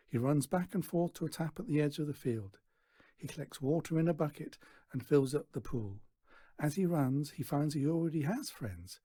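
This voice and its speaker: An expressive storyteller reads a children's novel aloud. The voice is husky and very lively in pitch, moving with animation through the prose. The sound is dry and close. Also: no echo. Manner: animated, acts out dialogue. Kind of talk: expressive scripted narration.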